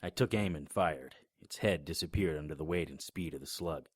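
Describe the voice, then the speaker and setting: A man voicing a hard-boiled 1920s private eye, narrating in a laconic, deadpan noir style. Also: very deep voice, normal speed, breathy edge, dry recording, studio quality. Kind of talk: first-person noir narration.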